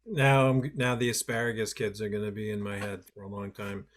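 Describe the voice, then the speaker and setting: A man's voice: on the low side, little pitch movement, a little fast. A man hosting an online workshop in a loose, conversational, self-deprecating way. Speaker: workshop host. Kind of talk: webinar presentation.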